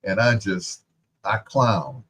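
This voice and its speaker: Male radio host, low-pitched, husky, casual chat, playful storyteller. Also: lively intonation, takes it slowly, a bit bright. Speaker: radio music show host.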